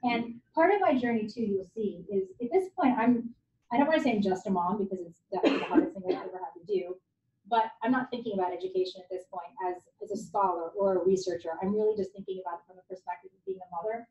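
A woman's voice: mid-range voice, fast talker, darkish tone. A woman in her forties, a native Japanese speaker, giving a candid, conversational guest lecture at a university. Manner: candid, conversational, self-deprecating. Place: lecture hall. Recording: echoey hall, dull lo-fi sound.

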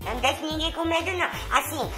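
A woman voicing a defensive young female house cat who protests quickly. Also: sits high, over music, lightly acted.

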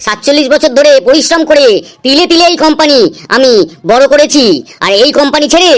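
A woman's voice: sits high, quick pace, natural voice. A woman voicing a bossy, self-important older male boss of beggars in a comedy cartoon, given to scolding. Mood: irritated, pompous.

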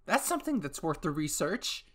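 A man voicing an excitable, gushing woman scientist-soldier in a fan fiction audio reading. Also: fairly high pitch, moderate pace. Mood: eager, curious. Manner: gushing, exclaiming.

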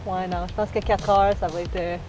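A woman's voice: on the low side, little pitch movement, brisk, poor audio. A middle-aged woman with a Quebec French accent chatting casually outdoors as a travel vlogger. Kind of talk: casual chat. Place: outdoors at a mountain resort. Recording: loud music over outdoor voice.

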